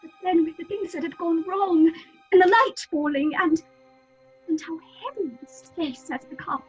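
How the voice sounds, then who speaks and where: An actress playing a timid, guilt-ridden young member of a theatre troupe, earnest and apologetic, in an audio horror drama. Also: female voice, extremely high pitch, normal speed, dull audio, faint music behind. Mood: guilty, earnest.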